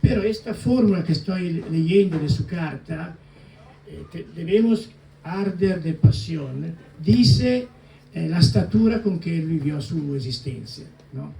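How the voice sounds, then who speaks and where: Older man with an Italian accent, gravelly mellow tone, hesitant and restarting, distant mic in an echoey hall. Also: a little high, animated. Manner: halting, many fillers and restarts, mixing Italian words. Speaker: co-author guest. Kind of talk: public book presentation talk.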